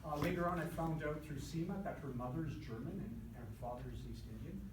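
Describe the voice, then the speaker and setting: A male instructor's slightly high voice carries from across an echoey classroom. He rambles through jokey anecdotes full of ums and uhs. Steady background noise sits under the distant recording. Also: fast. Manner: rambling, jokey storytelling with many fillers. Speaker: accounting instructor. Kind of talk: lecture with joking asides.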